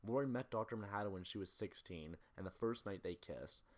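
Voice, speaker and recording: A man's voice: lowish voice, fairly quick. A man narrating a scripted film analysis as a video essayist, in a critical, opinionated way.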